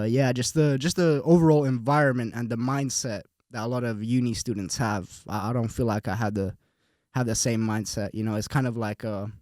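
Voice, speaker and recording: A young man from Finland, a podcast guest, speaks at a mid pitch in a laid-back, easygoing way. He often repeats words as he searches for what to say. The recording is close and dry. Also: darkish tone, no echo. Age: young adult. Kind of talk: casual conversation.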